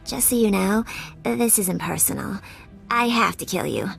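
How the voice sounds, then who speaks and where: A woman's voice: medium pitch, expressive, a little slow, gravelly, faint music behind, low-quality recording. A woman playing a flirty, deceitful, lovesick starship captain in a video game, with a coy, sugary manner. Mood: sweetly coy. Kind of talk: video game cutscene acting.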